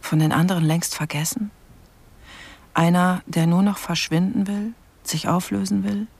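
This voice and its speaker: Creaky, brooding investigator, lightly pitched. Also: male voice, little pitch movement, moderate pace, darkish tone. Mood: pensive. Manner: terse, pensive, in clipped fragments. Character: brooding investigator narrating his case, quiet and observant. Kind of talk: radio crime drama narration.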